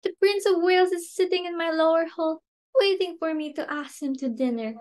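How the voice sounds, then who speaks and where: A woman's voice: very high voice, a little fast, a little mellow. A woman playing a flustered, snobbish society hostess and mother in an amateur dramatic reading, exclamatory and imperious. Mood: excited, flustered.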